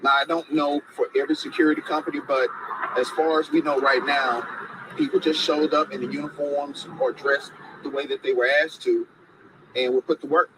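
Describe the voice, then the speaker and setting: A man's voice: on the high side, fairly even intonation, brisk. A man in his forties, an attorney, giving an outdoor press statement, stressing his points by repeating phrases. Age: 40s. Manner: emphatic, repeats phrases for effect. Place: outdoors by a gate. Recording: outdoor recording with clear background noise.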